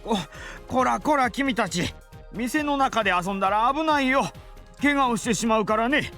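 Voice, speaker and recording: A man voicing a polite shop owner in a Japanese visual novel, with measured, courteous delivery. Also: very high-pitched, sharp tone, exaggerated voice. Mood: gentle. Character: polite male shop owner, gentle with an icy edge. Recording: game music behind the voice.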